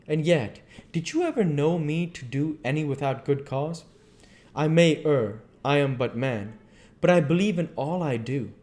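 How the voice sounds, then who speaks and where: A man's voice: fairly high pitch, darkish tone. A man voicing an old male professor who speaks in an earnest, pleading, old-fashioned way.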